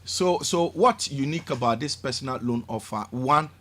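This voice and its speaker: A man's voice: normal speed, sharp tone. A man with a Ghanaian English accent, a bank executive, explaining a loan offer on a radio show with many "uh"s and an upbeat, persuasive push. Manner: hesitant fillers, then persuasive and upbeat pitching. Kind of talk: radio interview, promotional explanation.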